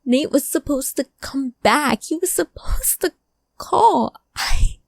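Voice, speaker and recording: A woman playing a guarded, grieving friend of a missing person in a cyberpunk audio drama, halting and emotional in delivery. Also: high-pitched, expressive, vocal fry, dry recording, clean studio sound, full character voice. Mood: angry, hurt.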